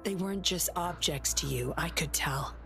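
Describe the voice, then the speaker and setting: A sly young woman patroller from a video game, speaking in a very low register. She is composed and measured, teasing with cool command, as clear music plays behind her. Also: fairly bright tone.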